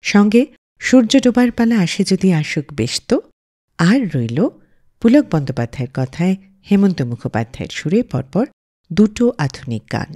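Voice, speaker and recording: Lively, warm-toned woman radio host. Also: fairly low pitch, normal speed. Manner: lively, storytelling delivery of film trivia. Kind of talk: scripted radio presenting.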